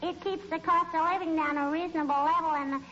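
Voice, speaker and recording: Very high-voiced cheeky little girl. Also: fairly even intonation, fast talker, a bit bright. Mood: earnest, precocious. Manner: childish, chatty, repeats tags like "I bet you". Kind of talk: 1940s radio comedy acting. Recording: dull, noisy 1940s radio recording.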